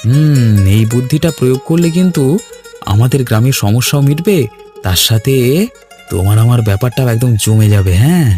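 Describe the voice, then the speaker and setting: A man acting as a cocky young cartoon villager with a mid-pitched voice. He is blunt and goading, a quarrelsome type who talks in loose everyday speech. Faint music runs behind him. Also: lively intonation, fairly quick, mellow, lightly acted. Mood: scheming, eager. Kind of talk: Bengali cartoon dialogue.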